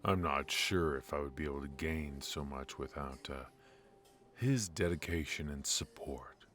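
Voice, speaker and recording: A man acting a brooding, ruthless yet remorseful mafia defector in an intimate noir ASMR audio drama, speaking in a halting, confiding way. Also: rumbling low, fairly bright tone. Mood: confiding, measured. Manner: halting, hesitant, confiding delivery.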